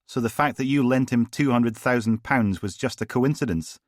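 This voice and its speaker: A man's voice: mid-range voice, moderate pace, no room sound, voice pushed up, natural voice. A man voicing a blunt, defiant male police sergeant with a dry, sardonic manner.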